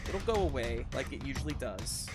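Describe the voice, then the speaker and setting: A game master hosting and narrating a tabletop roleplaying game, moving between drawn-out suspenseful narration and casual chat. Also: mid-range voice, animated. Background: music.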